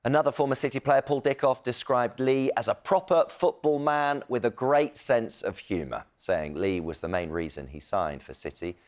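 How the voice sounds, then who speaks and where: A man's voice: medium pitch, moderate pace, hardly any pauses. A man presenting broadcast news live, moving between ad-libbed links and read tributes.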